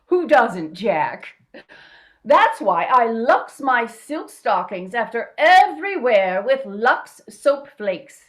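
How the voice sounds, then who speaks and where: A perky spokeswoman from a 1940s-style radio advertisement, acted by a woman. She is deliberate and unhurried, with big, lively swings in pitch. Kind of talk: scripted vintage radio advertisement.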